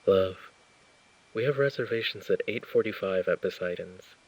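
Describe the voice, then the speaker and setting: Man acting a deep, gravelly, flirty mafia boss. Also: moderate pace, background noise. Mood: teasing. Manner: suave, mock-courteous, teasing drawl. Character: flirty, possessive mafia boss courting a detective. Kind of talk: roleplay ASMR acting, intimate address to listener.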